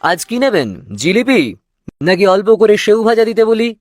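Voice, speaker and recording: A man's voice: high voice, brisk, faint music behind, close to an everyday voice. A man voicing a young, sly and cocky sweet-shop owner in a cartoon. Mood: friendly. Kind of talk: cartoon voice acting.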